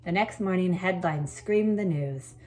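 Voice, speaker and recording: A woman with a low-pitched voice speaks as a teacher to her pupils. Her delivery suits reading a picture book aloud and explaining schoolwork to children. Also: a little slow, mellow. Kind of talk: expressive storybook read-aloud, then informal assignment explanation.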